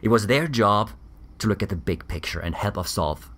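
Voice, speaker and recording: Low-pitched man, acted weary military doctor, grave, measured, defensive, sci-fi audio drama. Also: expressive, a bit bright. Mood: defensive, frustrated. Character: weary, defensive male German ex-army doctor on Mars.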